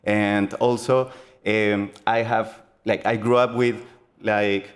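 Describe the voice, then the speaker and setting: A young man with a Chilean accent, giving a conference talk on game design in a halting, earnest way, full of fillers and restarts. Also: fairly low pitch, flat delivery. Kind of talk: conference presentation.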